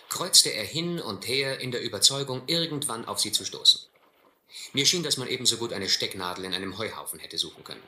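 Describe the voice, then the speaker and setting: A man playing an earnest gentleman who narrates his own ordeal at sea in a German radio play, measured and grave. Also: fairly low pitch. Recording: roomy, faintly hissy old radio play.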